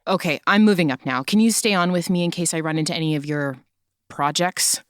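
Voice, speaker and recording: A woman's voice: on the low side, a little fast, clean tone, no echo, studio quality, lightly acted. A woman playing a blunt, sweary, determined government invigilator in an urgent crisis, in an audio drama. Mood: blunt, exasperated. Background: music.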